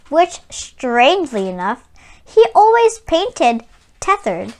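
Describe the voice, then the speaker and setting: A woman reading a children's picture book aloud as host, with deliberate, sing-song storytelling phrasing. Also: high voice, a bit bright.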